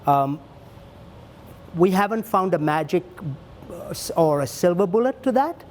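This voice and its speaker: Earnest high-voiced man answering questions. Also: lively intonation, unhurried, slight background noise. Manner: earnest, thinks aloud with fillers and restarts. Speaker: child welfare leader answering audience questions. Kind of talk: panel Q&A, conversational.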